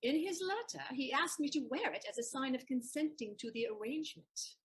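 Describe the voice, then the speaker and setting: A clever lady's maid in a comic period audio play. Her high voice moves in lively swoops, wry and knowing, the loyal but teasing companion of her mistress. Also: fairly quick. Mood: confiding. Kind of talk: stage comedy audio play acting.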